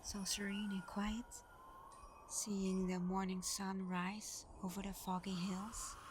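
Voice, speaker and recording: A woman acts out Santa's adopted daughter in an ASMR audio roleplay. She is tender and a bit shy, speaking softly and often in a near whisper. Her medium-pitched voice stays fairly flat in melody, with clear ambient noise behind it. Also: slow, close to an everyday voice. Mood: warm, reminiscing. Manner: soft, tender, hesitant, partly whispered. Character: Santa's gentle adopted adult daughter, shy, affectionate sleigh driver. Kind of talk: intimate ASMR roleplay, soft-spoken and breathy. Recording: clearly noisy background ambience.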